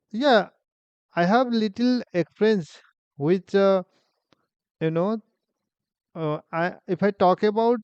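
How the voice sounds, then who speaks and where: Nepali-accented male vlogger in his forties, high smooth voice, unhurried personal storytelling with lots of pauses. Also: a little mellow, no room sound, lo-fi sound. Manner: halting, many fillers and restarts, simple phrasing. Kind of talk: personal story, casual monologue.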